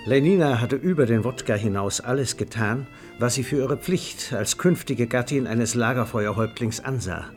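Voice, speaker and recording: An actor as a wry storyteller, reading scripted literary narration in a radio play. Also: male voice, mid-range voice, normal speed, hardly any pauses, close to an everyday voice. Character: wry storyteller of a boozy party. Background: music.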